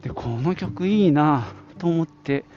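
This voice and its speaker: A man's voice: fairly high pitch, lively intonation, unhurried, a little mellow. Middle aged guy from nagoya area chatting solo on a podcast, rambly and informal, music behind him, kinda lo-fi. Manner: informal, rambling with self-asides. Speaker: podcast host. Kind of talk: casual solo reminiscing.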